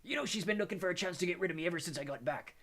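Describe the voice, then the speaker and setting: Male narrator voicing a hulking half-giant gamekeeper: gravelly, husky, stammering, rustic and soft-hearted. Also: sits high, very fast, voice pushed up, full character voice. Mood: indignant.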